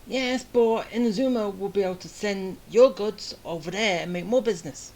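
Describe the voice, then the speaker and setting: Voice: medium pitch, normal speed, a little mellow. Chatty game streamer reacting casually. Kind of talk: casual playthrough commentary.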